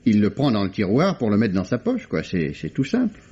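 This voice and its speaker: An actor playing a shaken, practical theatre stage manager who explains events plainly and hesitantly in an old radio play. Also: male voice, lowish voice, huge pitch swings, a little fast, warm tone, husky, full character voice. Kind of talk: 1950s radio drama acting. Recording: dull old radio broadcast with faint hiss.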